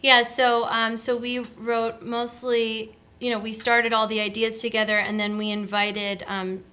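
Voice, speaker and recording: A woman's voice: fairly high pitch, level tone, a little slow, bright, forceful tone. A young woman, a musician, chatting casually and bubbly in a recording studio interview. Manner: bubbly, chatty, many fillers. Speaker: musician guest.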